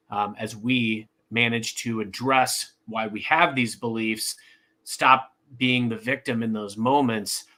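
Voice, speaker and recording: A man's voice: medium pitch, bright, forceful tone. A man hosting a podcast, eager and enthusiastic, with frequent fillers and restarts. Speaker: podcast host. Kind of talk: podcast introduction and chat.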